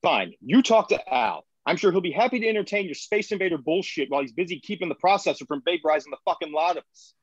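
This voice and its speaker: A man playing a gruff, sarcastic, short-tempered colony administrator in a screenplay table read. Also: fairly high pitch, animated, quick pace, hardly any pauses, no echo. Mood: exasperated, sarcastic. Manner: curt, sardonic, foul-mouthed. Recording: dull lo-fi audio.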